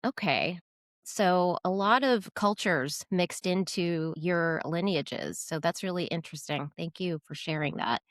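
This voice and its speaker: A woman's voice: lowish voice, measured pace, no room sound, clean studio sound. A woman hosting a podcast interview, speaking in an eager, grateful way. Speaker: podcast host.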